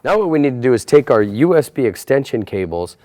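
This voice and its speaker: A man's voice: mid-range voice, sing-song, a little fast, dark tone, no echo. A man presenting an installation tutorial, talking through each step in a plain, methodical, procedural way. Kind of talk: step-by-step instructional narration.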